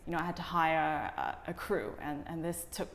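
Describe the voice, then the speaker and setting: A woman with a low voice answers interview questions reflectively. Her phrasing is halting and hesitant. The sound is echoey and reverberant, with faint noise behind her. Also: expressive, creaky. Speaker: interview guest, documentary director.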